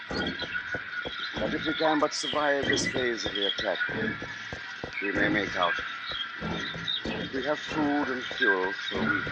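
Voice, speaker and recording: An actor playing a grave, anxious family man, narrating solemnly in a measured 1950s radio drama style. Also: medium pitch, very noisy, natural voice. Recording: 1950s radio recording with music behind.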